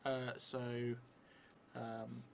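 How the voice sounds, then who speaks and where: A middle-aged man improvising a story for a solo tabletop game, haltingly thinking aloud and dictating as he writes. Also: mid-range voice, monotone. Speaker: solo role-playing streamer. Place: indoor theatre room, seated at a table. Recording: clearly noisy room recording.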